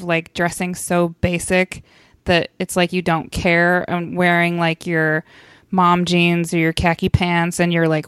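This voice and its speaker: A woman co-host who chats playfully and teases herself, leaning on "like" as she explains things. Her voice is a bit low for a woman and lightly amused. The recording is dry and close-miked. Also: a bit bright, clear, resonant tone, dry recording. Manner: playful, self-deprecating, chatty with many "like"s. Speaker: podcast co-host. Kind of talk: casual podcast chat.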